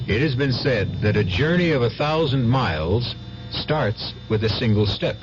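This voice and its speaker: A man hosting and narrating a radio drama in a deliberate, theatrical scripted style. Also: medium pitch, animated. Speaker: host and narrator. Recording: muffled old radio broadcast with music.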